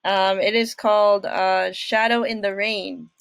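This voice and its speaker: A woman's voice: mid-range voice, flat delivery, a little slow, vocal fry, clear, resonant tone, no echo. Hesitant young woman chatting, dry close sound. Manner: hesitant, rambling. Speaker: reaction video host. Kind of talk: casual reaction chat.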